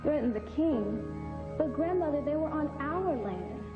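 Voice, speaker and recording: A woman's voice: high-pitched, animated, measured pace. A woman playing a young Egyptian royal granddaughter, earnest and spirited, speaking formally in a theatrical historical drama. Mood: curious, indignant. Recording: echoey, thin narrow sound, music behind.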